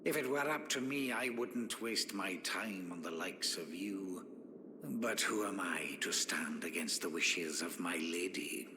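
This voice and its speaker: Snide sorcerer: haughty, sneering, fairly low game voice in long, noisy reverb. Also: male voice, animated, unhurried. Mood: disdainful. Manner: sneering, condescending, pompous. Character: haughty, spiteful, scheming sorcerer. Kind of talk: video game character dialogue. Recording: echoing hall reverb, noisy game capture.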